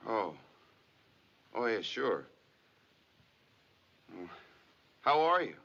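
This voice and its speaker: Anxious husband in an old 50s movie, voice goes up and down a lot, kinda muffled audio. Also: male voice, fairly low pitch, big pitch swings, pushed and bright, exaggerated voice. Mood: tender, nervous. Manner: halting, earnest. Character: anxious, estranged husband and father phoning his wife. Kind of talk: 1950s Hollywood film acting. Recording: dull old film soundtrack.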